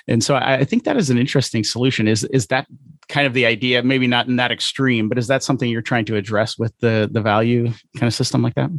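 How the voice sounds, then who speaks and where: A man's voice: mid-range voice, fast talker, fairly bright tone, dry recording, studio quality. A man hosting a podcast interview in a casual, informal and somewhat hesitant conversational way.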